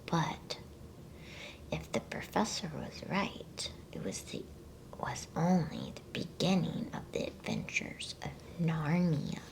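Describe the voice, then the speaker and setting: A woman speaks in a hushed, breathy whisper, low for a female voice. She takes her time, very slowly and soothingly, in the style of an ASMR bedtime host. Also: huge pitch swings, rough recording. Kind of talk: ASMR whispered reading and chat.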